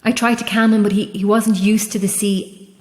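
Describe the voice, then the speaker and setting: Actress as lonely, haunted lighthouse keeper woman for horror radio play; raw, halting delivery, long reverb. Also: medium pitch, little pitch movement, darkish tone, natural voice. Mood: haunted confession. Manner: halting, confessional, emotionally raw. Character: anguished, guilt-ridden lonely woman lighthouse keeper, increasingly frantic. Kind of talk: immersive horror audio drama acting.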